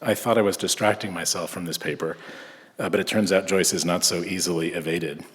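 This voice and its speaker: A man from Southern California reading an academic conference paper in a measured way, with frequent filler hesitations. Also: deep voice, steady tone, a bit bright. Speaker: conference speaker. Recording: echoey lecture hall.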